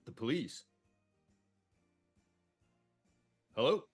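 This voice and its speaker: A man playing a curt, flustered businessman father in a live comedy table read, halting and impatient. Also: faint music behind, close to an everyday voice. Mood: wary.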